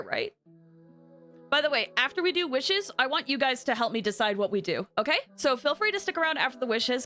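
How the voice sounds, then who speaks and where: A woman game streamer chatting casually with her viewers in an excitable, chatty manner. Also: on the high side. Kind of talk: casual livestream chat with viewers.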